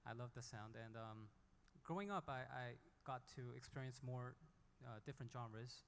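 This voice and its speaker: A man from South Korea, an indie musician, speaking off the cuff and haltingly on a panel. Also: mid-range voice, smooth. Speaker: indie singer-songwriter on a panel. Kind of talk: unscripted panel talk. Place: hall at a music conference panel. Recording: echoey hall with long reverb.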